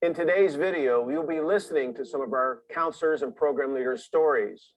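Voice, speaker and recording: A man's voice: a little high, a little fast, noisy background. A man who sits on a camp's board, earnestly introducing a promotional video in an upbeat way. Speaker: camp board member.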